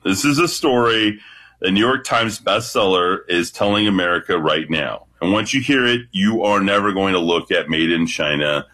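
A man with a deep voice calling into a radio show, talking confidently and animatedly. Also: moderate pace, pushed and bright. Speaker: radio caller. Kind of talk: casual radio talk.

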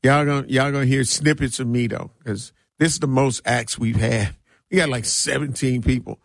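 A man's voice: medium pitch, steady tone, moderate pace, creaky, dry recording. An American man hosting a radio show from a studio, chatting casually and playfully as he promotes events. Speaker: radio host and DJ.

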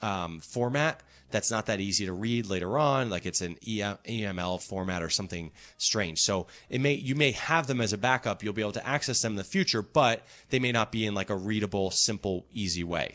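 Low-voiced radio tech host. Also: male voice, fairly bright tone. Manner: friendly, explanatory, walks through steps. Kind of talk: call-in radio talk.

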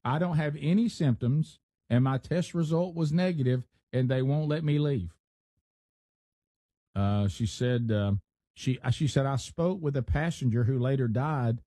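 A man's voice: mid-range voice, unhurried, mellow, no room sound, studio quality. A man hosting a talk radio show, reading out a news story and adding his own asides in casual banter. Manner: reads news aloud with asides.

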